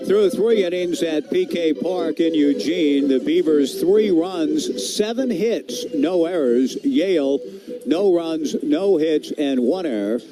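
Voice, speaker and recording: An American man calling baseball play-by-play on the radio in a measured, articulate, descriptive way. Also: a little high, over music. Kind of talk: sports play-by-play commentary.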